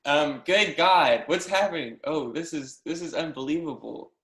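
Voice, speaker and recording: A man's voice: on the high side, moderate pace, background noise, close to an everyday voice. A man playing an eager, wide-eyed young mathematician student who questions insistently, in a readers theatre stage reading. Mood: awed. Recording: roomy, echoing sound.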